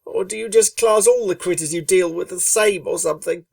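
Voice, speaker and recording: Woman reader doing a cocky pegasus pony girl, way higher than her own voice, loud and blunt, bit far from the mic. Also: brisk, vocal fry, no echo. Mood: defensive. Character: brash, impatient young female pegasus pony. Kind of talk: storybook reading.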